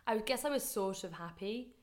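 A woman's voice: medium pitch, expressive, moderate pace, darkish tone. A woman in her twenties from Liverpool with a Scouse accent, a guest chatting casually in an interview and using lots of "like" fillers. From Liverpool, England. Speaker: podcast guest, campaigner.

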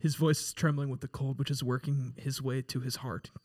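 A man's voice: medium pitch, flat delivery, a little fast, darkish tone. A man hosting a comedy table read, switching between casual banter and script narration.

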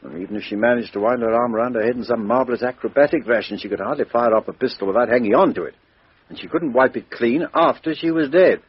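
Old radio: a man doing a businesslike police inspector, husky mid voice, muffled broadcast. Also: mid-range voice, fast, no room sound, lightly acted. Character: brisk middle-aged male police inspector. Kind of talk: 1950s radio drama acting.

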